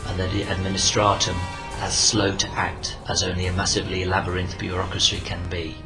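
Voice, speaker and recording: Deep, solemn male narrator over loud music. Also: rough recording. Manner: solemn, measured storytelling. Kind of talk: scripted dramatic science fiction narration.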